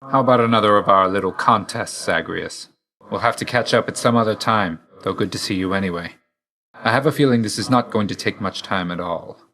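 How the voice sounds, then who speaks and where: A video game god of death with a low voice and a hard, forceful edge. He is grim and reserved, and he speaks in terse, solemn phrases. A dry loyalty sits under the sternness. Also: a little fast. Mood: reserved, wry. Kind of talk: scripted video game voice lines.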